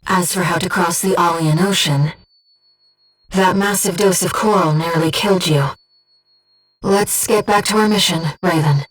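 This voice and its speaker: A woman playing a gentle, disembodied female mission operator in a video game, earnest and composed, with clearly enunciated tactical callouts. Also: lowish voice, measured pace, distant mic, soft background music, poor audio. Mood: soft, earnest. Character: gentle disembodied female voice guiding a mercenary pilot.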